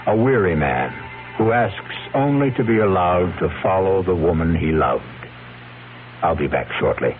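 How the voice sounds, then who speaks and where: A man hosting a radio mystery series, narrating dramatically in a measured, portentous way. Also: deep voice, lively intonation. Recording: tinny old radio broadcast with music. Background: loud music.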